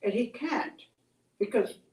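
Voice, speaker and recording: An older woman telling stories and reflecting on them in a gentle, halting, conversational way. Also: a little high, lively intonation. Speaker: storyteller, host. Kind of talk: oral storytelling and reflective chat. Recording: echoey room, distant mic.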